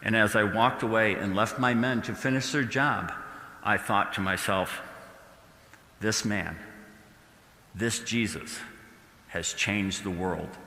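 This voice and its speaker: A man playing a solemn, awed Roman guard in a church dramatic reading, delivered deliberately with pauses. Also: lowish voice, sharp tone, full character voice. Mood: quiet wonder. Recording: echoey church hall with long reverb.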